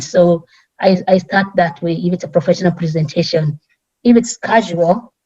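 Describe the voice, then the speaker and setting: A middle-aged woman from Kenya with a strong Kenyan accent, speaking earnestly as a guest on a community talk panel, often pausing and restarting. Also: lowish voice, dark tone, muffled recording.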